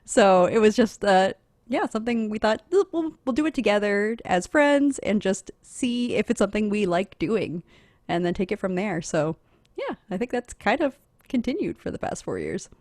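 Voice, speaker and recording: A woman's voice: mid-range voice, sing-song, normal speed, a little mellow, no echo, clean studio sound. A woman podcaster chatting casually on a panel, giggly and talkative.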